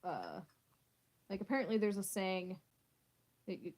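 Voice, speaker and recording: A woman's voice: medium pitch, very animated, unhurried, darkish tone. A young woman from the west coast of Canada with a West Coast Canadian accent, chatting casually and laughing often, her sentences rising at the end. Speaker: host. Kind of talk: casual chat.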